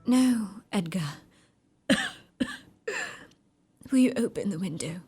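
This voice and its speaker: A woman playing a feverish, dying young woman in a radio drama, wistful and dreamy in a breathy whisper. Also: medium pitch, expressive, slow pace, mellow, faint music behind, heavily acted. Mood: weak, wistful.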